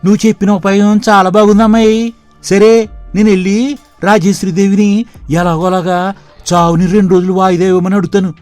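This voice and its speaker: A woman voicing a cruel male henchman in an animated story, blunt and threatening. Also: mid-range voice, a little fast, dry recording, soft background music, natural voice. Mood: menacing. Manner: blunt, menacing.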